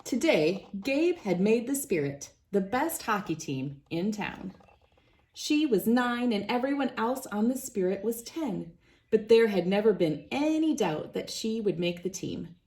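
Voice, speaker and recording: A woman's voice: mid-range voice, big pitch swings, a little slow, darkish tone. A woman reading a children's storybook aloud as narrator. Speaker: children's book reader.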